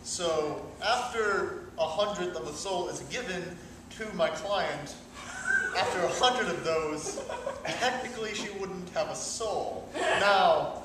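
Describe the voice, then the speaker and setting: Man playing pedantic lawyer, slow deliberate mock-legal delivery, halting, improv comedy, distant mic, noisy echoing room. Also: sits high, expressive. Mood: mock formal. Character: pedantic, hesitant defense attorney for a fairytale villain. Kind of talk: improv comedy storytelling on stage.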